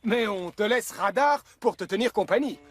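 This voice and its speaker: An actor playing a dependable, friendly firefighter hero in a French cartoon dub, speaking earnestly. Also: male voice, high voice, lively intonation, normal speed, a bit bright. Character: heroic, dependable, friendly adult male firefighter. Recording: music behind the voice.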